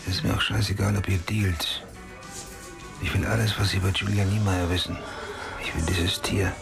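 Very deep, gravelly homicide detective. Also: male voice, flat delivery, normal speed, over music. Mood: blunt, insistent. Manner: terse, muttering, blunt. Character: hard-boiled, brooding male homicide detective. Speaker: actor in a radio crime drama. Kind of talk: noir radio play acting.

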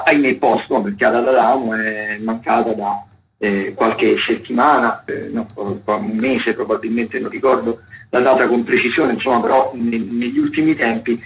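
A man's voice: mid-range voice, a bit bright, slight background noise, poor audio. A man hosting a radio show, presenting the week's theatre events with many hesitations, fillers and restarts. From Italy. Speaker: radio host. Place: radio studio.

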